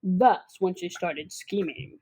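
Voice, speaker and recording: A woman's voice: on the low side, slow, a little mellow, breathy edge, poor audio. A girl of about twelve narrating a comic suspense radio drama in a theatrical, scripted way. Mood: suspenseful. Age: child about twelve.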